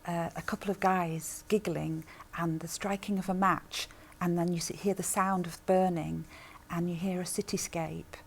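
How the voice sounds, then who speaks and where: Female drama producer, lowish register, conversational and emphatic interview delivery. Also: level tone, moderate pace, creaky. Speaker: radio drama producer giving writing advice.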